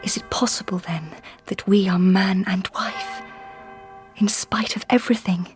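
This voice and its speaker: Young Victorian bride played by a woman, earnest and brooding, breathy whispered monologue with narrow, even pitch, radio drama. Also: measured pace, soft background music, natural voice. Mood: uneasy. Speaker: lead actress and narrator in a radio drama. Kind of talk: intimate inner-monologue radio acting.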